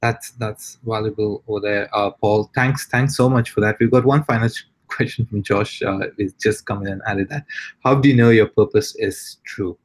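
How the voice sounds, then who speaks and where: A man's voice: fairly low pitch, fairly even intonation, moderate pace, no echo. A man hosting, thanking the guest and reading out questions with hesitant fillers. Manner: brief, hesitant fillers between reads. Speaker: host reading audience questions.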